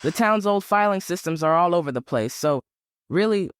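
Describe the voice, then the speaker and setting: A woman's voice: on the low side, lively intonation, normal speed, clear, resonant tone, close to an everyday voice. A woman playing an eager, earnest young amateur sleuth and superfan in an audio drama.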